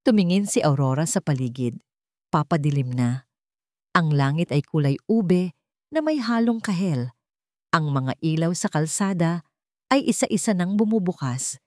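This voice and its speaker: Low-voiced woman narrating a love story. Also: a little mellow, no echo, clean studio sound.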